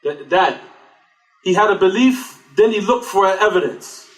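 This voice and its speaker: High-voiced preacher in an echoey room. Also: male voice, animated, unhurried. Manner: rhetorical questions answered himself. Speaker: Islamic lecturer. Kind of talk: religious lecture. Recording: echoey room, distant mic.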